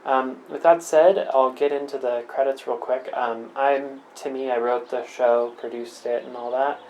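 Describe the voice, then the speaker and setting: A man's voice: mid-range voice, steady tone, a little slow, darkish tone, highs cut off, a little hiss or hum. Casual, offhand man reading show credits. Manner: informal, offhand. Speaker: show's writer, producer and actor.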